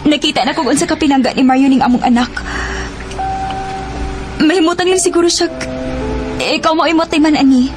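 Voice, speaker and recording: A woman's voice: high-pitched, a little fast, pauses often, natural voice. A woman playing an imploring, shameless young mother and former mistress in a radio drama, with a Cebuano accent and an emotional delivery. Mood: pleading. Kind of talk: Philippine radio drama acting. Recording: radio drama with loud music bed. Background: dramatic background music.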